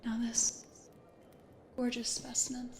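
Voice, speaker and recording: Slow whispering American ghost woman, acted by a woman. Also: fairly high pitch, monotone. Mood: tender, proud. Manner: soft, hesitant, breathy murmuring. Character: gentle, anxious plant-loving woman ghost from the 1800s. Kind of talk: whispered ASMR roleplay. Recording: roomy echo, audible background noise.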